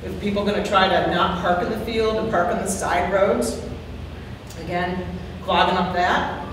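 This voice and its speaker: A woman with a loud voice giving earnest, worried public comment at a town board hearing, repeating her points. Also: fairly low pitch, fairly even intonation, moderate pace. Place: large town meeting hall, far from the mic. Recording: echoey hall, distant mic, faint hiss.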